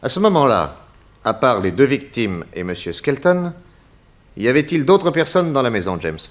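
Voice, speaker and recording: An actor playing a confident private detective in a 1960s French radio crime serial, speaking in a courteous, methodical and theatrical way. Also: male voice, medium pitch, sing-song, normal speed, lightly acted. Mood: formal, commanding. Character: confident male private detective exposing a murderer.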